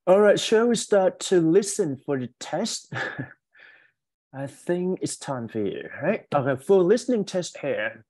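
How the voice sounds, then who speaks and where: A man's voice: high-pitched, sing-song, slow pace, darkish tone. A male teacher gives casual instructions to an online class. His intonation swings widely, and he is chatty, often prompting and checking with listeners.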